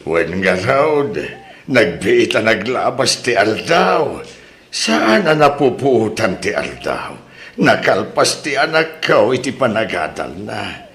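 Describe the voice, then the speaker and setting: Man acting a slow, humble, grateful parent. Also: on the low side, big pitch swings, a bit bright, heavily acted. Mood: grateful, sentimental. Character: humble, grateful older parent of a new graduate. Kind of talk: Ilocano audio soap drama acting.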